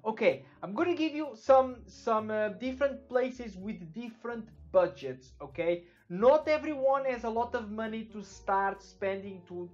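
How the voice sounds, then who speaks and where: A young man from Portugal with a Portuguese accent, giving a casual screen-share web development tutorial, loose and full of fillers, often checking in with "okay?". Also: high voice. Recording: dull lo-fi with faint music behind.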